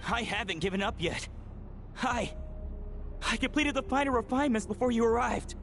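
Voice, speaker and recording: An obsessive young game character in a long, cavernous reverb. His voice is high and very animated, dramatic, defiant and sure of himself. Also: moderate pace, music in the background. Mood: desperate, defiant. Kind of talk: video game voice acting.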